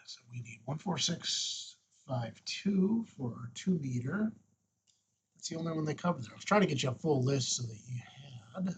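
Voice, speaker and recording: A man hosting an unscripted, casual software walkthrough, thinking aloud and murmuring to himself as he works. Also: on the high side, animated, normal speed, a little hiss or hum. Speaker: host of a radio tutorial.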